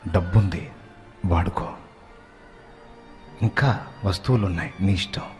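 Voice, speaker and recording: A man voicing a loving, trusting elderly mother-in-law who speaks softly and low. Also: a little slow, faint music behind. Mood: tender. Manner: soft, low, tender.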